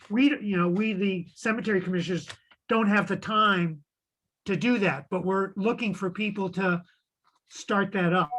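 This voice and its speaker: A man's voice: high-pitched, fairly bright tone, poor audio. A man serving as commission clerk in a town meeting, talking hesitantly with many restarts. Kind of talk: meeting discussion.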